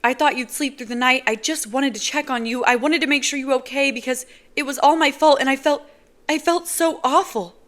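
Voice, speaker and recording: A woman's voice: sits high, a little fast, fairly bright tone, pitched above the performer's own voice. A woman voicing a frightened, remorseful young woman who pleads in breathless apologies. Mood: distraught.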